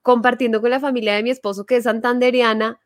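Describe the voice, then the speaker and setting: A woman's voice: on the high side, steady tone, fast talker, dry recording, studio quality. A Colombian woman, a podcast guest, chatting casually with lots of fillers. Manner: chatty, filler-heavy, self-deprecating. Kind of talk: casual chat.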